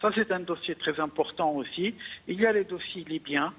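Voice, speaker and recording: A man from Egypt giving political analysis in an interview, speaking haltingly with repeated words. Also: high-pitched, slightly rough. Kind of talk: live news interview commentary. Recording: narrow-band radio phone-in sound.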